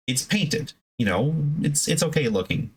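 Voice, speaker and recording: A man's voice: on the high side, lively intonation, moderate pace, a little hiss or hum, rough recording. A man giving casual, unscripted hobby advice as a video host, in a conversational, emphatic way that rambles into asides.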